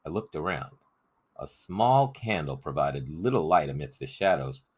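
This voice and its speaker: A man's voice: a bass voice, very animated, moderate pace, darkish tone, breathy edge. A man narrating a story as a scripted audiobook reading.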